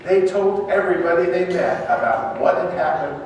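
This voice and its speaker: A man's voice: sits high, moderate pace. A man narrating a scripture dramatization to a congregation in a church. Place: large church interior. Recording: echoey church hall from far off, faint noise.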